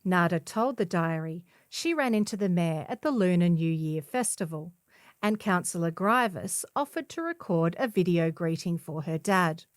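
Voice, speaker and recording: A woman's voice: fairly low pitch, expressive, moderate pace, dry recording, studio quality. A woman reading a scripted feature article as a volunteer newspaper narrator. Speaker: volunteer reader of a community newspaper.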